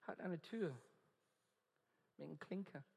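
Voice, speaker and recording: An Australian man preaches with earnest emphasis, stumbling now and then over German as a second language. He talks slowly and deliberately. His voice rings out in a large hall with a long, trailing reverb. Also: on the high side, gravelly, a little mellow, slightly rough. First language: English. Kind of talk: evangelistic sermon.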